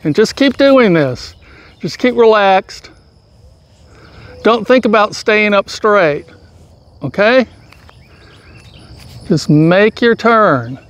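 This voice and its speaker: A middle-aged man teaching golf posture outdoors, giving calm, patient, step-by-step instructions, recorded by a camera standing some way off. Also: sits high, slow pace, pauses often. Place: outdoors on a grassy field. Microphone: far camera, full-body framing. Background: crickets and insects outdoors.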